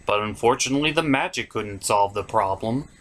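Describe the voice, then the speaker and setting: A man's voice: on the low side, fairly quick, fairly bright tone, slight background noise. A man hosting a cartoon review, delivering scripted comedic narration in a wry, self-deprecating way.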